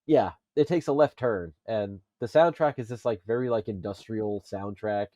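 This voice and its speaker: A man on a casual pop culture podcast, talking in a halting way as he thinks aloud. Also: on the low side, mellow, no room sound, clean studio sound. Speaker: podcast panelist.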